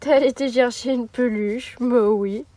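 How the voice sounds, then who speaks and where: A woman's voice: on the high side, fairly even intonation, unhurried, dark tone, no echo. A young woman vlogging casually into her phone from her camper van, chatty and hesitant, her nose stuffed up from a cold. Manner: chatty, hesitant with many fillers. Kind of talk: casual vlog chat to camera. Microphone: phone mic.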